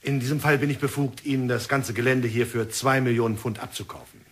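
A man's voice: medium pitch, steady tone, brisk, a bit bright, natural voice. A man voicing a polite but persistent business envoy in a vintage radio play. He speaks in stiff, formal phrases with a mid-range voice. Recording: old radio play recording.

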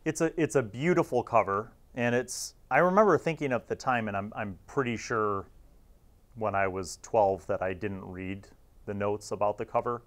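An American man in his forties hosting a casual review to camera in a reflective, nostalgic manner. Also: on the low side. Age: 40s. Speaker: host.

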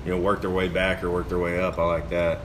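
A man's voice: low voice, completely flat delivery, quick pace. A man, a walking team member chatting in a laid-back, upbeat way. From Dallas, Texas. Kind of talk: casual group chat. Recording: noisy room with background hum.

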